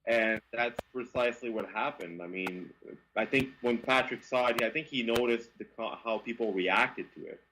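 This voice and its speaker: A man from Quebec with a Quebec French accent, telling stories as an interview guest in a lively, stammering way. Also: medium pitch, normal speed, noisy background. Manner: animated, frequent stammering restarts. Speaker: guest, car reviewer. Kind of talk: casual interview storytelling.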